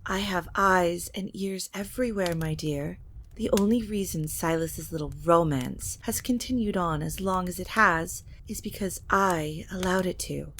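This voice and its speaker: A woman playing a menacing vampire mother who rules her castle, speaking slow, deliberate, coldly polite threats in an audio drama. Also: fairly low pitch, slight background noise. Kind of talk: audio roleplay drama acting.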